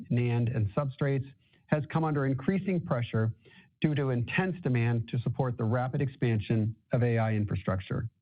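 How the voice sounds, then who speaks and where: A man speaking as a company finance executive on a corporate earnings conference call. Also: mid-range voice. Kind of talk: scripted corporate earnings presentation, then answering questions. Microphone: conference call line. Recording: thin narrow phone-like conference line.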